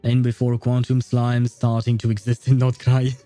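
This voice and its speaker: Man, mellow tone, casual game livestream, thinking aloud, rambling, dry close sound, faint background music. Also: mid-range voice, completely flat delivery, normal speed, no echo. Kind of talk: casual livestream chat, answering viewers.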